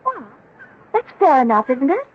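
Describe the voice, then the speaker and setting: High, lilting young American heiress. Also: female voice, big pitch swings, normal speed. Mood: affectionate, persuasive. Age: young adult. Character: pampered, coaxing young heiress, playful and determined. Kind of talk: 1970s radio drama acting. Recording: dull, noisy vintage radio recording.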